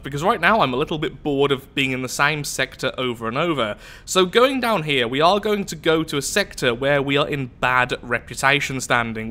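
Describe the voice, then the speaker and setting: Guy with the flu sounding stuffy and nasal, jokey gameplay chatter, bright and a bit high. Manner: chatty, self-mocking, thinking aloud. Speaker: gameplay commentator. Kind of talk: let's play commentary.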